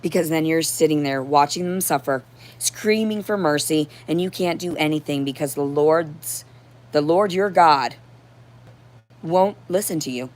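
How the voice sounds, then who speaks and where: A woman with a hoarse voice giving a rambling, unscripted livestream monologue in a confrontational, profane and disjointed manner. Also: low-pitched, a little slow, a little mellow. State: hoarse throat. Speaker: livestream host.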